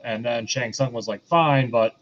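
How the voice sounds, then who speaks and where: Dull lofi mic, guy with average pitch explaining stuff on a casual podcast. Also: moderate pace, fairly bright tone, a little hiss or hum. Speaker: podcast panelist. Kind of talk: casual explanatory film discussion.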